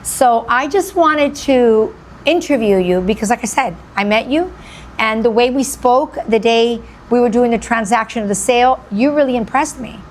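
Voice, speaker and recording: A woman's voice: fairly high pitch, moderate pace, a little hiss or hum. A woman hosting a radio interview, gushing and repeating phrases for emphasis. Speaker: radio show host. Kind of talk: enthusiastic interview.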